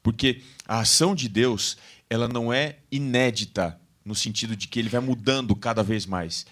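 A man with a Brazilian Portuguese accent, co-hosting a Bible lesson program and explaining theology in a measured, reflective way. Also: medium pitch, a bit bright. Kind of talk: Bible study discussion.

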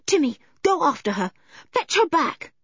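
Children's story tomboy, read by a woman: high, swooping voice, impetuous and worried. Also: sing-song, moderate pace, fairly bright tone, no echo, highs cut off, full character voice. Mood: panicked. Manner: impetuous, insistent. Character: George, headstrong anxious tomboy girl.

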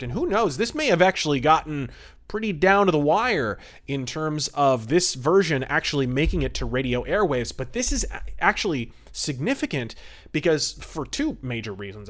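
A man's voice: on the high side, a bit bright. A man hosting a podcast, giving enthusiastic, wordy and emphatic review commentary. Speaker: podcast host.

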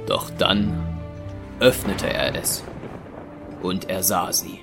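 Ein Mann als Erzähler eines Hörspiels, der einen geschriebenen Text feierlich und dramatisch vorträgt. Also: male voice, deep voice, fairly even intonation, slow pace, gravelly, music in the background. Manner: solemn, dramatic. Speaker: narrator. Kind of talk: dramatic scripted audio drama narration.

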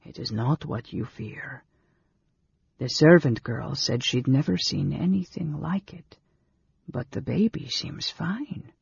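A man voicing a gentle, grave male companion in a storybook, speaking softly in a calm, consoling way. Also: creaky, slightly rough, no room sound. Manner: soft, low, measured. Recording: dull lo-fi recording, very dry.

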